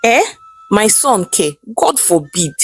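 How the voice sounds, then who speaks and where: Woman acting a distraught Nigerian wife, swooping pitch. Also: very animated, slow, breathy edge, soft background music, exaggerated voice. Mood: horrified. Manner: emotional, exclaiming, reproachful. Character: fearful, protective wife and mother.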